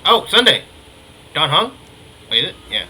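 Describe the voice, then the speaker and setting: Bright, punchy male game streamer. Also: on the high side, moderate pace, slight background noise. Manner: excitable, slangy, repeats himself. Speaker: streamer reacting to a video game. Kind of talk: casual reaction commentary.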